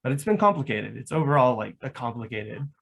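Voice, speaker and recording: A man's voice: medium pitch, big pitch swings, a little fast, vocal fry, a bit bright. Guy w mid voice just chatting on a panel, very informal, hedges a lot. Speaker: panel guest and larper. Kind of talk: casual panel discussion.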